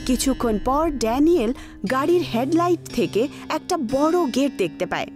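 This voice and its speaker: A woman narrates a horror tale dramatically, in a fairly high voice. Her pitch moves in lively swings over clearly audible music. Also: moderate pace.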